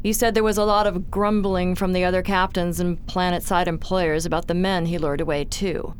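A woman playing a composed, formal spaceship captain in a science-fiction audio drama, speaking in a measured way. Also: flat delivery, close to an everyday voice. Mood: measured, businesslike. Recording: loud background noise, vehicle-like rumble.

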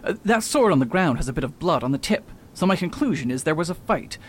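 A man's voice: a little high, very animated, quick pace, a little hiss or hum. A man playing a confident detective doctor in an amateur radio drama, laying out precise deductions. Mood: self-assured. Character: confident middle-aged male detective doctor.

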